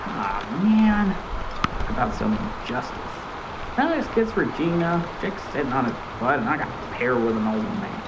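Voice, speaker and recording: Cocky eighteen-year-old male wisecracker, played by a man, swooping pitch, sarcastic audiobook dialogue under loud ambience. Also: lively intonation, moderate pace, noisy background, natural voice. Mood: grumbling. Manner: mouthy, theatrical complaining and joking. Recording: loud ambient sound effects behind.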